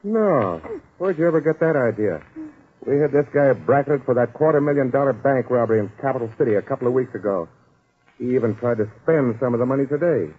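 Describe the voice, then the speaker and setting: An actor playing a blunt, impatient police sergeant with a brusque, clipped delivery in a 1940s radio crime drama. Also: male voice, mid-range voice, lively intonation, a little fast, husky. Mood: puzzled, brisk. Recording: tinny, noisy 1940s radio recording.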